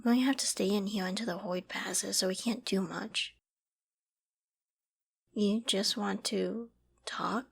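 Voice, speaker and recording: A woman acting a clingy, secretly obsessive young apocalypse survivor in a dramatic ASMR audio roleplay, with an emotional, halting, stammering delivery. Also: medium pitch, creaky, breathy edge, exaggerated voice. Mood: subdued, resigned. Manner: emotional, halting and stammering, often dropping to whispers and sighs.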